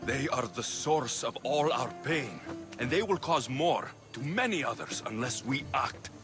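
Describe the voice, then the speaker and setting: A young actor playing a steady, earnest mercenary hero in a video game cutscene. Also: male voice, mid-range voice, measured pace, natural voice. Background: music.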